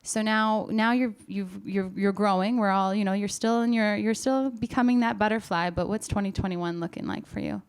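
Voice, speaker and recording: A woman's voice: mid-range voice, fairly even intonation, normal speed, hardly any pauses, smooth. A woman hosting a casual interview, speaking in an encouraging, affirming way. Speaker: interviewer and show host.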